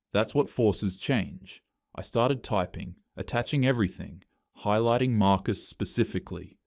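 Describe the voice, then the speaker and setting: Male narrator, low and dry, steady scripted story reading. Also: no room sound. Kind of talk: scripted first-person narration.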